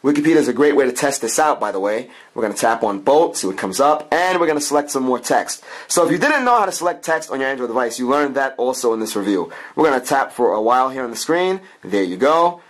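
A man's voice: mid-range voice, expressive, quick pace, hardly any pauses. A man hosting an informal, improvised product review, talking through a hands-on demo and often repeating his points. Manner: talks in plural "we", informal, improvised and repetitive. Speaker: app reviewer, channel host.

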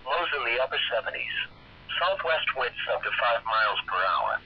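A synthetic-sounding man reads a scripted weather forecast over the radio in a measured, impersonal way. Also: medium pitch, lively intonation. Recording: dull, noisy weather radio capture.

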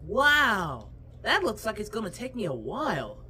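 A woman's voice: medium pitch, wildly swooping pitch, unhurried, pushed and bright, a little hiss or hum, heavily acted. A woman voicing a cheerful, naive male martial-arts hero in a breezy, simple-minded way for a cartoon parody.

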